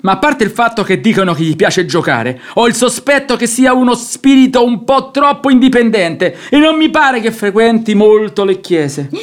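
A man's voice: very high-pitched, expressive, moderate pace, hardly any pauses. A man playing a stubborn, domineering, pious middle-aged nobleman father in a theatrical radio comedy, blustering and exasperated. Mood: impatient, commanding.

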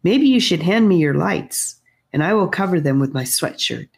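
A woman reading as a calm, sure young boy. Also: fairly low pitch, normal speed, vocal fry.

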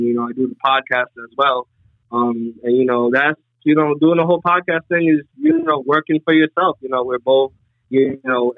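A young man in his twenties talks in a loose, rambling way, with "you know" filling nearly every pause. His voice keeps to a narrow, flat pitch. It comes through a thin, phone-like line with a dry, close sound. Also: no echo. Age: 20s. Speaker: podcast guest. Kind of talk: casual podcast conversation. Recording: tinny phone-like remote line.

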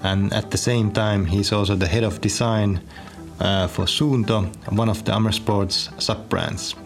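A man's voice: lowish voice, fairly even intonation, measured pace, gravelly, over music. A man hosting an interview, asking questions with some hesitant stumbles. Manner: hesitant, stumbling over words. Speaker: interview host.

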